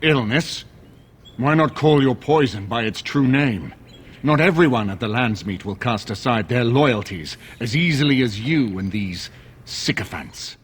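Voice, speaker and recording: A man's voice: medium pitch, expressive, unhurried, slight background noise, lightly acted. A man playing a resolute, dignified nobleman in a fantasy game, speaking in a formal, earnest way. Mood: indignant, resolute. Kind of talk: dramatic fantasy game acting.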